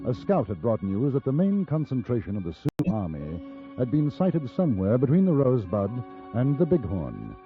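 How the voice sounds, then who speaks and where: An actor playing an urbane newspaper reporter out West, narrating a 1950s radio drama with dry, understated wit. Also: male voice, medium pitch, huge pitch swings, normal speed. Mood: wry, reflective. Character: wry, urbane English newspaper reporter and gunman in Old West. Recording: muffled old radio recording, orchestral music.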